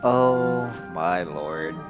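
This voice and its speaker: A man's voice: sits low, lively intonation, drawn-out words, highs cut off. A man giving casual game reaction commentary in short, amused, muttered asides. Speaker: gaming video creator. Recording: loud game music behind the voice.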